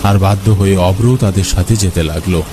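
A man's voice: sits low, brisk, background noise. A man reading a scripted horror story as its narrator, with music behind him. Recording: loud music under the voice. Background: loud background music.

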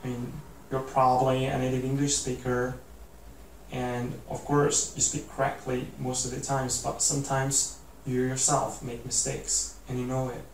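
A man's voice: medium pitch, monotone, normal speed, vocal fry. A man in his twenties with an Italian accent, talking earnestly to the camera in a casual, rambling way while seated indoors. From Italy. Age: 20s. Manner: earnest, rambling with many "like"s and restarts. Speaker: vlogger. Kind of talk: casual motivational talk to camera. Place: indoor room, seated in armchair. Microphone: camera mic from a few feet away. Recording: roomy echo, distant mic, faint hiss.

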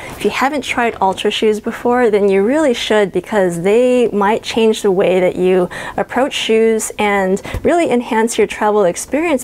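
A woman's voice: medium pitch, darkish tone. Woman reviewing travel gear on camera. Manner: upbeat, friendly, explanatory. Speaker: travel vlogger. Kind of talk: scripted product walkthrough. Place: small bedroom with a closet, indoors. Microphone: clip-on lapel mic.

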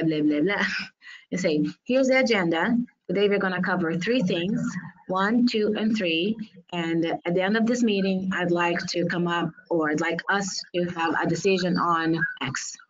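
A woman from Brazil answers questions on a webinar panel over a video call, in English that is not her first language. Her voice sits fairly low and the audio is slightly dull. She talks earnestly and to the point. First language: Portuguese. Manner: earnest, direct advice with frequent ums.

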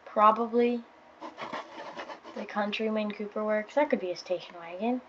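Scratchy-voiced gaming host over engine noise. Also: female voice, medium pitch, moderate pace, highs cut off. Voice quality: scratchy morning voice. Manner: chatty, thinks aloud, counts down launches. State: tired. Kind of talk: casual gameplay commentary. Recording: loud car engine game audio behind. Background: racing game engine noise.

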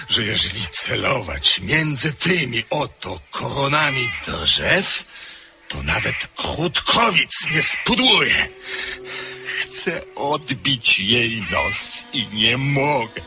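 An obsessive gunman from an old radio play, brooding and slow. He talks at a deliberate, unhurried pace. Music plays clearly beneath him. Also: mid-range voice. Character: unhinged man who shoots at a statue's face. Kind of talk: 1970s-80s radio drama acting.

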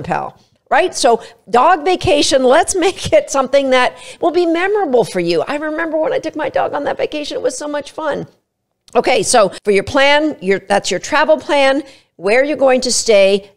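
A woman in her fifties, a dog trainer, giving chatty, emphatic travel tips straight to camera at a studio microphone. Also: on the high side. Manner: chatty, emphatic, brief quoted asides. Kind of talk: casual instructional talk to camera. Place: small studio room indoors. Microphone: studio mic in front of her.

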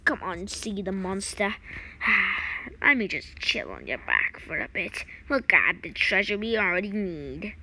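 A woman's voice: mid-range voice, very animated, unhurried, bright, forceful tone, exaggerated voice, low-quality recording. A woman voicing a greedy, scheming sea creature in a cartoon, muttering slyly.

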